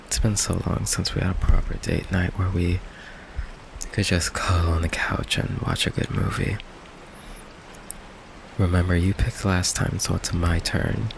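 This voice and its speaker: A man acts as a nervous goth vampire boyfriend in an intimate ASMR roleplay. His voice is very low and unhurried, full of stammers and evasions, yet affectionate. Rain runs clearly behind him. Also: slight background noise, low-quality recording. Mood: affectionate, playful. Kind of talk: ASMR roleplay, intimate one-sided conversation. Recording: rain ambience behind close voice.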